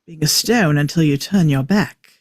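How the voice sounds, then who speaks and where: A man's voice: a little high, moderate pace, lightly acted. A man reading the part of a clever, eccentric time traveller at a table read, explaining things with wry emphasis. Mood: serious explaining.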